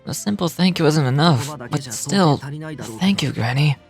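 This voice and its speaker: An earnest young video game hero, remorseful yet determined, speaking as a dutiful grandson. Music plays clearly behind him. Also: male voice, fairly high pitch, lively intonation, unhurried. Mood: remorseful, sincere.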